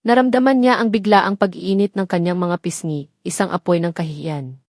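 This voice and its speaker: A woman's voice: fairly low pitch, fairly even intonation, normal speed, a little mellow, no room sound, clean studio sound. A woman narrating a scripted romantic drama story in a solemn, dramatic manner.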